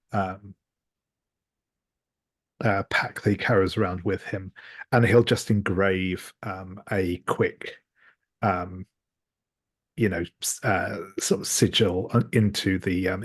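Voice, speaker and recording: A man with a deep, husky voice plays a tabletop roleplaying game. He describes what his character does in a casual, halting way, feeling out each phrase. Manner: hesitant, halting.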